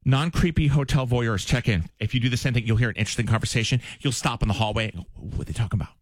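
A man hosting a morning radio show, chatting playfully with a big booming voice. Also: racing, no echo. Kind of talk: casual morning radio banter. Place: radio studio.

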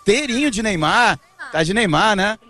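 A man's voice: high-pitched, expressive, moderate pace, pushed and bright. A man reporting live from an outdoor fan festival, joking around while interviewing fans. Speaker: field reporter.

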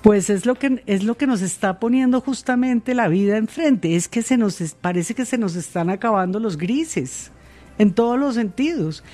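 A woman, answering interview questions in a measured way, with many pauses and fillers. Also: fairly high pitch, expressive, mellow, faint music behind. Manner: measured, hesitant with fillers and repeated words. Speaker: interviewed guest.

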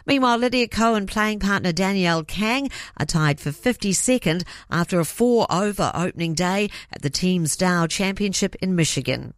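A woman with a New Zealand accent reads the radio news at a middle pitch. Her delivery is measured and even, and the sound is dry and close. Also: dry recording. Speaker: newsreader. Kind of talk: radio news bulletin reading.

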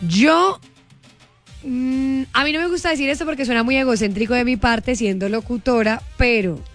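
A woman hosting a radio show, teasing and bantering in a lively, quick way. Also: a little high, faint music behind. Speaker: radio host.

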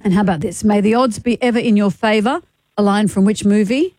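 A woman's voice: mid-range voice, a little fast, mellow, dry recording. A woman with an Australian accent, hosting on radio as quizmaster with bantering presentation. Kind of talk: bantering quiz show presenting.

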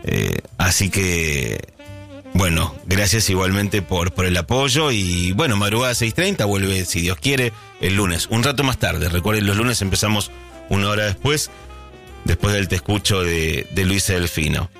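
A man's voice: low voice, lively intonation, unhurried, vocal fry, fairly bright tone, faint music behind. A middle-aged man with a Rioplatense Spanish accent hosting a casual early-morning radio show, chatting and thinking aloud with many fillers and restarts. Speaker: radio host.

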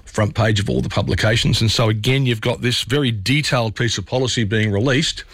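A man's voice: medium pitch, a little fast, a bit bright, no echo. A man with an Australian accent hosting an evening talk radio show, his voice slightly scratchy from a chest cold, talking listeners through the news in a conversational way. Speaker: radio host. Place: radio studio.

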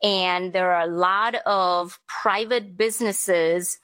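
A middle-aged woman from Sri Lanka talks through her career in finance as an interview guest. Her answers are measured and businesslike, with the odd um as she thinks. The sound is dry and close, with no room around it. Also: lowish voice, fairly even intonation, a bit bright, clear, resonant tone, dry recording. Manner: measured, businesslike, with frequent ums.

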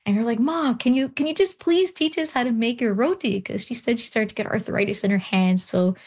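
A woman's voice: fairly high pitch, a little fast, darkish tone, breathy edge. A young woman guest on an interview show, a doctoral researcher, telling personal stories animatedly with frequent laughs and restarted sentences.

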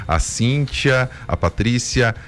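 A man's voice: mid-range voice, animated, slow pace, fairly bright tone, slight background noise. A man co-hosting a radio show, chatting jokingly and listing facts with ease. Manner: joking, knowledgeable, playful. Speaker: radio co-host. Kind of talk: casual talk radio chat.

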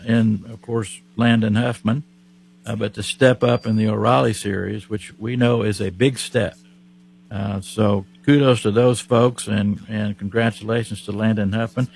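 A man with an Oklahoma accent hosting a casual motorsport talk show, folksy and relaxed, with frequent fillers. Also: on the low side, gravelly, breathy edge. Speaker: racing show host.

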